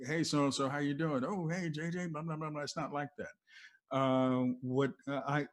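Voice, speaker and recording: A man's voice: a little high, steady tone, normal speed. An older American man who worked as a radio DJ, telling stories casually in an emphatic, explanatory way that often wanders off. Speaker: veteran radio DJ, interview guest. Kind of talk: casual conversational storytelling.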